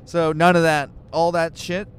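A man's voice: fairly high pitch, flat delivery, a little slow, clean tone. A man co-hosting a podcast in a moving car, reading scripture aloud and joking about it with irreverent, swearing asides. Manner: joking, swears freely, reads passages then riffs.

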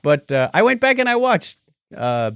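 A man's voice: high-pitched, very animated, moderate pace, clear, resonant tone, no echo. A man co-hosting a casual podcast with playful, jokey banter. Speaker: podcast co-host.

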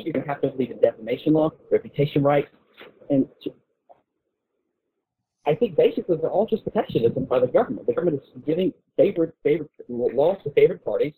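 A man speaks over a narrow, phone-like line with a rough, husky edge to his voice. He argues his case informally and intellectually, haltingly, often repeating words as he works toward each point. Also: fairly high pitch, animated. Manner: halting, repeats words while building arguments. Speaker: guest speaker on a discussion show.

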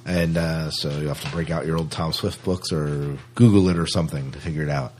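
A man's voice: a bass voice, steady tone, brisk, darkish tone, a little hiss or hum. A man co-hosting a podcast, chatting casually about news in a hesitant, rambling, conversational way. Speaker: podcast co-host.

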